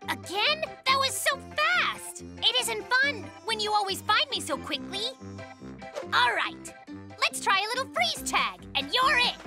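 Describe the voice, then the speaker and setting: Casting: a stubborn little cartoon bear cub, extremely high voice, wildly animated, bossy and cheeky. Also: a little slow, over music, full character voice. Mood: exasperated. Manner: bossy, insistent, exclamatory. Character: cheeky young brown bear cub, inventive, stubborn rule-bender. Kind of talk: children's animated series acting.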